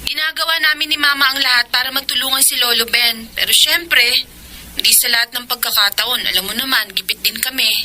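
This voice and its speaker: A sympathetic young Filipino cousin in a radio drama. Her voice is high and intensely bright, and she explains things earnestly. There is faint noise behind her. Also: fairly quick.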